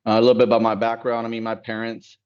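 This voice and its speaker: A man who grew up in Dallas, a partner at an accounting firm, talking in a relaxed, reflective way with many fillers on a video call. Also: medium pitch, very fast, smooth. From Dallas, United States. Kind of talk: casual panel talk on a video call. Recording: dull lo-fi call audio.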